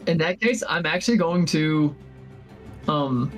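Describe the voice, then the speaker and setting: A man with a fairly high voice, chatting as a player at a tabletop game. He is self-deprecating and swears casually. Music plays softly behind him. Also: lively intonation, unhurried.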